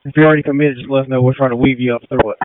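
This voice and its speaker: A man's voice: medium pitch, fairly even intonation, very noisy. A businesslike man coordinating emergency medical units over two-way radio. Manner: brisk, businesslike. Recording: phone-like narrow-band two-way radio.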